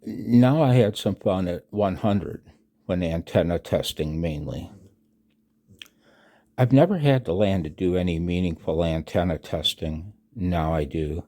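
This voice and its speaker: Deep, husky, slow-talking man in his sixties. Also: warm tone. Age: 60s. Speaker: amateur radio hobbyist and video narrator. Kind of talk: personal voice-over announcement, partly read from own text.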